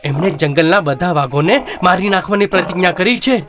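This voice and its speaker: An actor voicing a sly, scheming jackal in an animated moral story for children. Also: medium pitch, a little fast, music in the background, lightly acted. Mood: urgent warning.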